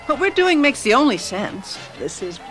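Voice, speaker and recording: Devoted middle-aged wife, music behind. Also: female voice, a little high, very animated, normal speed. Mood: affectionate. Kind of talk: radio drama acting. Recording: music under voice.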